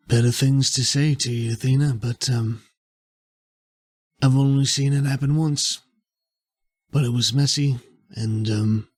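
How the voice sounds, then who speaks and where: A man playing a bitter, guilt-ridden exile in a tabletop roleplay, with a husky voice and a terse, brooding, pointed delivery. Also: medium pitch, a little mellow. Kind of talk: improvised tabletop roleplay dialogue.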